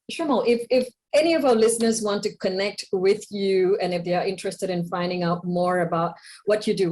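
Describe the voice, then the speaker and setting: A woman hosts a conversational interview podcast. Her voice sits at a middle pitch, and she speaks effusively, heaping appreciation on her guest. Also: a little mellow. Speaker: podcast host.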